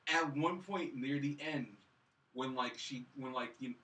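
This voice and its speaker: A man's voice: mid-range voice, measured pace, sharp tone. A man chatting casually as a film review co-host, rambling and dismissive as he recaps, recorded at a distance from the mic. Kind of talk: casual chat, recapping and mocking.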